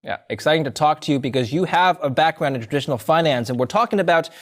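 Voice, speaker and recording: Brisk male news anchor. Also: on the high side, expressive. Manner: brisk, scripted-sounding introductions, conversational questions. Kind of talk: news interview.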